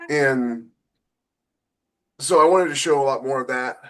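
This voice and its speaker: A man's voice: medium pitch, a little slow, fairly bright tone. A man talks in an informal podcast style, as an author explaining his own work. He thinks aloud, stopping and restarting as he builds his points, often repeating a phrase before moving on. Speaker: podcast co-host and novelist. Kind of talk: casual talk about own books.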